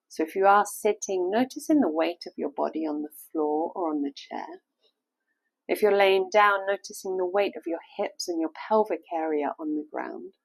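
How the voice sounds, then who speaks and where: A woman leading a guided meditation, giving gentle step-by-step instructions in a soothing, unhurried manner. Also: medium pitch, vocal fry, dry recording, rough recording.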